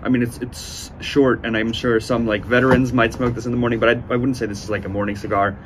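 A man in his twenties giving a casual, unhurried review to camera, speaking with enthusiastic praise. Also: mid-range voice, flat delivery. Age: 20s. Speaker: cigar reviewer, host. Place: outdoors on a sunny porch by windows. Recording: outdoor ambient noise.

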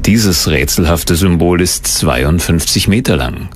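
A man reading scripted documentary narration in a measured, suspenseful storytelling manner. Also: sits low, expressive.

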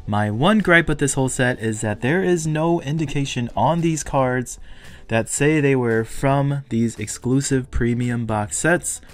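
A man casually commenting on an unboxing of collectible toy cars, speaking with eager excitement about value. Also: medium pitch. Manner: enthusiastic, speculative collector talk. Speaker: toy car collector vlogger.

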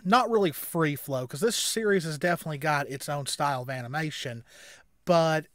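A man in his thirties giving casual, rambling reaction commentary as a co-host, halting and repeating words as he explains. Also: a little high, fairly bright tone, no room sound, clean studio sound. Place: small indoor room at home.

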